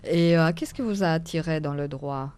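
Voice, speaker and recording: Casual radio host woman, lower voice. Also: normal speed, darkish tone, smooth. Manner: casual, chatty questions with light laughs. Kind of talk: radio interview.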